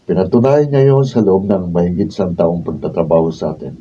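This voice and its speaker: An actor playing a stern, protective husband and older brother in a radio drama. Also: male voice, deep voice, fairly quick, dark tone, a little hiss or hum, close to an everyday voice. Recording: distant, muffled room recording.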